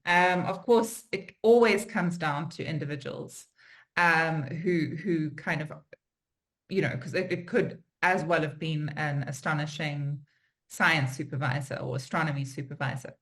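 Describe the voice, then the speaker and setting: A woman's voice: low voice, a little slow, fairly bright tone. A woman hosting an interview, reflecting aloud in a musing way with many fillers and restarts, recorded over a video call. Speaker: interviewer host. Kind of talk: reflective conversation.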